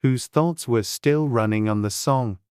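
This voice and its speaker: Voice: mid-range voice, measured pace, darkish tone, smooth, no echo. Dry, close storybook narrator. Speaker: audiobook narrator.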